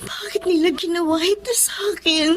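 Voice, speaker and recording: An actress in a Filipino radio drama playing a gentle, heartbroken blind young wife, speaking tearfully. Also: female voice, very high voice, fairly even intonation, brisk, a little hiss or hum.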